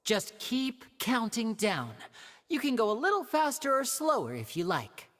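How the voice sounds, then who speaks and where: A man's voice: extremely high pitch, big pitch swings, unhurried, a bit bright, heavily acted. An actor voicing a flamboyant, playful and confident young male stage magician in a video game, with polished, teasing theatrical patter. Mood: playful showmanship.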